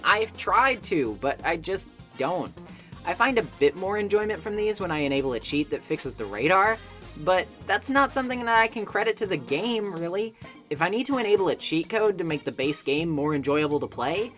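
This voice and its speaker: A woman's voice: on the low side, fairly bright tone. A woman narrating a scripted video essay as a game reviewer, with a wry, opinionated, conversational manner. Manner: wry, opinionated, conversational asides with mild swearing. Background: background music.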